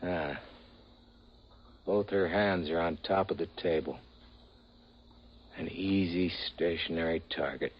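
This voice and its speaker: A man's voice: sits low, steady tone, slow, long pauses, husky, exaggerated voice. A man acting a seasoned, restless hunter in a 1950s radio drama, hesitant and repeating himself. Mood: restless, dissatisfied. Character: seasoned male hunter, restless, uneasy with easy kills. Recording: muffled, hissy old radio broadcast.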